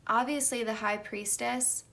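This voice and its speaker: Young female tarot reader, chatty, slightly high voice with a gravelly edge, just out of bed. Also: steady tone, measured pace. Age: young adult. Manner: chatty, light laughs, soft whispered card calls. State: just woken up, slightly raspy. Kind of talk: casual pick-a-card tarot reading.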